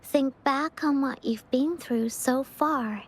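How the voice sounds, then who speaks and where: A very high, girlish voice tells a tale at a slow, measured pace. She is an earnest, imaginative little adventurer from a video game, delivering every line like theatrical storybook narration. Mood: solemn, encouraging.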